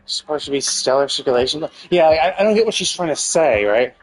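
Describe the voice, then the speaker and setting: A man's voice: a little high, lively intonation, brisk, faint music behind, rough recording. A man commentating casually on a live game stream, thinking aloud in a hesitant, rambling way and repeating himself, with casual swearing.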